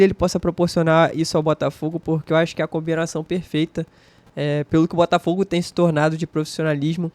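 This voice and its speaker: A man's voice: a little high, no echo. A man with a Brazilian accent hosting a fan channel, giving chatty, slangy reaction commentary. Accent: Brazilian Portuguese. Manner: chatty, slangy, enthusiastic praise.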